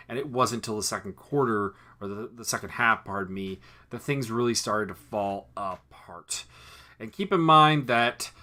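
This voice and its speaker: Hoarse guy breaking down a football loss, lowish voice, punchy and tense. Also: pushed and bright. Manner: analytical, rattles off stats with frequent self-corrections. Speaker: sports review host. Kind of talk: solo football game review.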